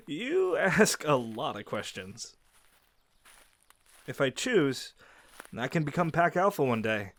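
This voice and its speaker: A man's voice: on the high side, wildly swooping pitch, takes it slowly, long pauses, fairly bright tone, no echo, full character voice. A man playing an easygoing young werewolf. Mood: relaxed. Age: young adult. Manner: easygoing, laughs often, answers unheard questions. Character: friendly young male werewolf guide. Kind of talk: audio roleplay to a silent listener. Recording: dry close recording.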